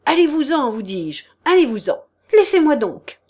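A woman's voice: fairly high pitch, lively intonation, measured pace. A woman reading the part of a stern, contemptuous prince in a classic novel, delivering cold, commanding accusations. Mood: agitated. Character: stern, contemptuous male prince, a high official. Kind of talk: audiobook character reading.

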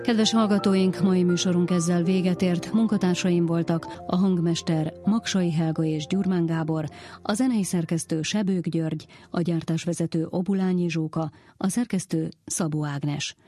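Female radio host, soft dark timbre, scripted closing read over light music. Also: lowish voice, steady tone.

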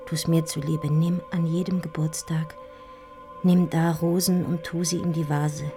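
Grieving dying letter writer, low voice, subdued melody, creaky, halting whispers, feverish, radio drama monologue. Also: female voice, little pitch movement, dark tone, faint music behind. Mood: whispered pleading. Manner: breathy, halting, repeating words in anguished whispers. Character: heartbroken dying woman writing a farewell love letter.

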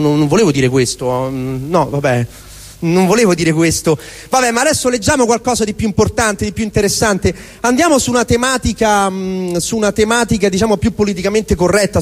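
A man with a Roman accent performing a rambling, exasperated comic monologue as if talking to himself. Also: high voice. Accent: Roman Italian. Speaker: stage performer. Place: live performance venue. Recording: live venue with long reverberation.

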